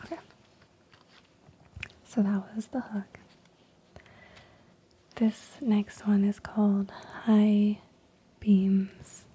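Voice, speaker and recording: A woman reading stories in a soft unvoiced ASMR whisper, slow and hushed with frequent ums, while losing her voice. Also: mid-range voice, little pitch movement, a little hiss or hum. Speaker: ASMR creator.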